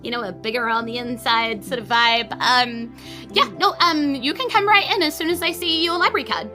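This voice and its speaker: A woman acting out a young female sea-folk clerk in a tabletop fantasy game, pitched up and very bright. She is friendly and eager to help, rambling through rules with perky customer-service polish. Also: brisk, faint music behind. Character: young friendly, helpful Triton girl, chatty library clerk.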